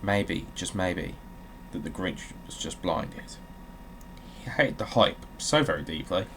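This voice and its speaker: A man's voice: deep voice, expressive, takes it slowly, background noise. A man hosting a gaming video, talking casually and reading aloud dramatically, with a joking, sweary manner.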